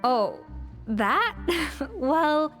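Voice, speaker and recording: A self-assured, exacting woman chef from a video game. The voice is fairly high, with haughty, precise diction and a lecturing manner over background music. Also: sing-song, drawn-out words, a bit bright, full character voice. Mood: storytelling.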